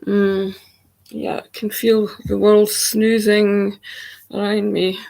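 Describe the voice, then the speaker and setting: Casting: woman, croaky and gravelly, unhurried spiritual monologue, gentle and hesitant. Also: medium pitch, flat delivery, rough recording. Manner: soft, hesitant, many pauses and fillers. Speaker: spiritual channel. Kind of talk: slow reflective monologue. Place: home.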